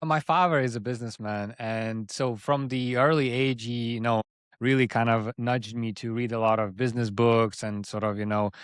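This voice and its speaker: A man's voice: medium pitch, steady tone, moderate pace, clear, resonant tone, dry recording, studio quality. A man in his thirties talking casually as an interview guest, often rephrasing mid-sentence as he explains himself. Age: 30s. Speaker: interview guest, agency founder.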